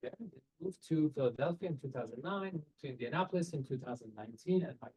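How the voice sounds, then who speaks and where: A man's voice: on the high side, expressive, quick pace, darkish tone, breathy edge, low-quality recording. A man, giving earnest career advice to students in a seminar over a video call. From Iran. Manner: earnest, direct, rhetorical questions, non-native phrasing. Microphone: webcam on a video call.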